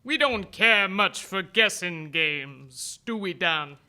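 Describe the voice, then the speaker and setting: An actor playing a smug, taunting 1940s gangster in a radio crime drama. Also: male voice, sits high, lively intonation, takes it slowly, bright, forceful tone, smooth, full character voice. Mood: smugly menacing. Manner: mocking, taunting. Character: smug, menacing 1940s male gangster in zoot suit.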